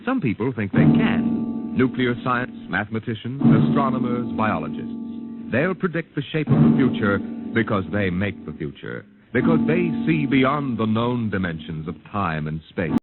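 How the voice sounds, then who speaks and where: A man narrating a 1950s science fiction radio show in a portentous, deliberate, theatrical style, on a tinny old recording. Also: on the low side, lively intonation. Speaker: announcer and narrator of a radio anthology. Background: loud dramatic music.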